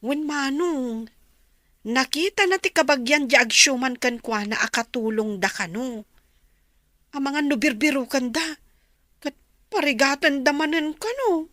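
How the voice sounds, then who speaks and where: A woman with an Ilocano Filipino accent playing a concerned, soft-hearted young waitress in a drama. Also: high-pitched, expressive, a little slow, long pauses, no echo, studio quality. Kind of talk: TV drama acting.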